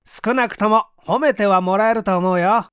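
Friendly, energetic young man of science for audiobook, male reader acting: high voice, hearty, dry close recording. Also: normal speed, smooth, no room sound. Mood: hearty, excited. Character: eager, friendly young male research technician. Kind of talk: acted audiobook dialogue.

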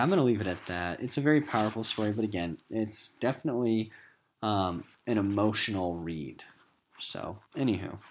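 A man with an American accent teaching a recorded literature lesson in an emphatic, informal classroom style. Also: medium pitch.

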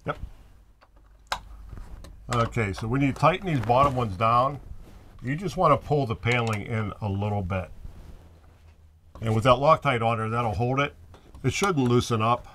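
A man's voice: mid-range voice, moderate pace, long pauses, a bit bright, slight background noise. A man in his fifties, an RV owner, talking casually as he works through a repair step by step, thinking aloud as he goes. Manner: plainspoken, thinks aloud while working with his hands. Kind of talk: casual how-to narration. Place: small bedroom in an RV.

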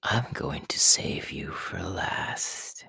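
Sinister, possessive male snake villain for ASMR roleplay: extremely deep, very slow, raspy and menacing. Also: wildly swooping pitch, pushed and bright, no room sound, full character voice. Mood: possessive menace. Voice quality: breathy, raspy whisper. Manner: slow, drawn-out, gloating.

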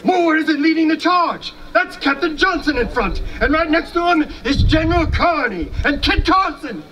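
Man playing a brash, very high-pitched army dragoon. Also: lively intonation, normal speed, fairly bright tone, lo-fi sound. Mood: excited. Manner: blustery, folksy, exclamatory. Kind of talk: live scripted historical reenactment reading. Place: outdoor stone amphitheater in open scrubland. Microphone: stand mics, recorded from a distant camera. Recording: outdoor stage from far off.

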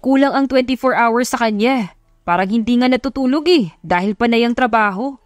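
Babaeng gumaganap bilang humahangang imbestigador na nag-uulat. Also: female voice, on the high side, normal speed, dry recording, lightly acted. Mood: amazed, reporting.

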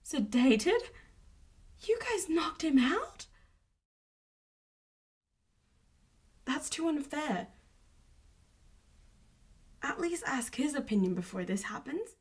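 A young woman voicing a playful, protective popular vampire girl in an intimate audio roleplay, breathy and often dropping to a whisper. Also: high-pitched, sing-song, slow, long pauses, dry recording, exaggerated voice. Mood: indignant. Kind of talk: audio roleplay voice acting, addressing the listener.

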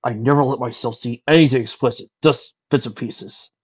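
A gentle, lovesick dragon from a storybook, played by a man. The delivery is subdued and earnest, carrying the sadness of an accursed creature. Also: mid-range voice, brisk, husky, dull audio, lightly acted. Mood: wistful, sheepish.

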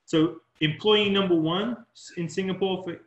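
A man's voice: high-pitched, steady tone, moderate pace. Enthusiastic male host, roomy call audio. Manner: enthusiastic, restarts often. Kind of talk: casual video-call interview. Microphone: video call.

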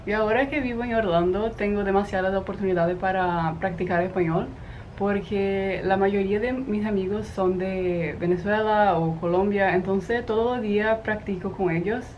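A teenage girl with a southern Brazilian accent, talking in a relaxed, slightly hesitant way to the camera outdoors in a garden. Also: mid-range voice, moderate pace, dull audio, a little hiss or hum. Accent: southern Brazilian Portuguese. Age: teens. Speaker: host's daughter. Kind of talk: casual talk to camera.

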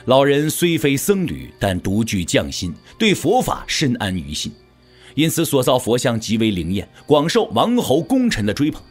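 A male narrator tells a dramatic story as in an audio drama. His mid-pitched voice moves in lively, animated swings, with soft music underneath. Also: normal speed.

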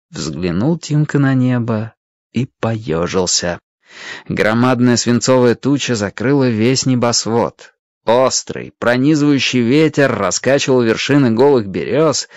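A man narrating a dramatized story as an expressive storyteller. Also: mid-range voice, no echo, lo-fi sound.

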